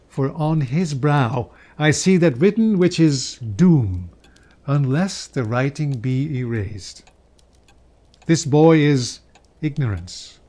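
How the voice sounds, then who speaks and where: A man's voice: mid-range voice, dark tone. A man voicing a grave, aging ghost in a dramatic reading of a Victorian tale, declaiming with stern command.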